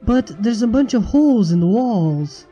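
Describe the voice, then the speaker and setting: A man plays a young female pony from a fan fiction story, sweet and soft-hearted. The voice is very mellow and swings widely in pitch, with music underneath. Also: animated, a little slow, lo-fi sound. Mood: concerned. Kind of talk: character voice in a fan fiction reading. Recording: music behind the voice.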